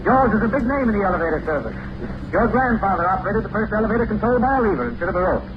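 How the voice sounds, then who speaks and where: A man's voice: high-pitched, racing, lo-fi sound. An actor playing a pompous, fatherly older elevator captain in an old-time radio comedy. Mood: fatherly, soothing. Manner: pompous, earnest, speechifying. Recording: noisy old radio recording, steady hiss.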